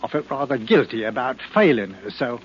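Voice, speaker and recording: An actor playing a meek, flustered husband with something to hide, hesitant and deferential, in 1940s radio style. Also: male voice, medium pitch, animated, fairly quick, lightly acted. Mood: apologetic. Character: meek, flustered English husband hiding guilt. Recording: muffled, noisy 1940s radio recording.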